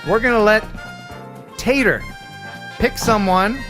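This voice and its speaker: A man hosting a live stream in a casual, chatty way, reading out donations with bursts of excitement. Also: high-pitched, takes it slowly, faint music behind. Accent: neutral radio American. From Philadelphia area. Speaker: livestream host.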